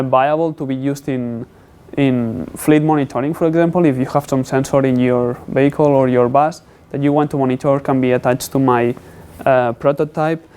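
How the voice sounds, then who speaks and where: Male student presenter, English, clear smooth voice, medium pitch, explanatory and halting delivery. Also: a little mellow. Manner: halting, non-native English phrasing. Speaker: student presenting a capstone project.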